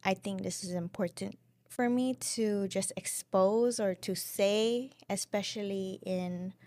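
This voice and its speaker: A woman in her twenties with a mixed Filipino and Pacific islander accent, telling her story as a podcast guest in a lively, chatty way. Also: medium pitch, takes it slowly, dry recording. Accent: Pacific islander and Filipino mix. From Saipan and Guam. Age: 20s. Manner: chatty, animated storytelling with acted-out quotes. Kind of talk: casual interview chat.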